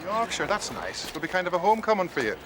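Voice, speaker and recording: Good-humoured soldier sidekick, wry, fairly high voice, varied intonation, period drama, outdoor noise with hooves. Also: male voice, sing-song, quick pace. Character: easygoing, loyal, wry soldier companion.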